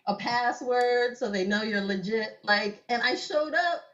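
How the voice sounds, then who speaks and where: A woman's voice: on the high side, measured pace, fairly bright tone. A young woman guest telling stories in a casual interview, laughing often and saying "like" a lot. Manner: chatty storyteller, laughs often, many "like"s. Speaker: cosplayer guest. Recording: dull lo-fi sound.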